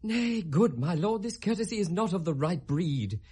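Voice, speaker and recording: An actor with a British accent playing a polite, uneasy courtier in a radio Shakespeare drama, strained and courtly. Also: male voice, high-pitched, animated, normal speed, warm tone, husky. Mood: strained, reproving.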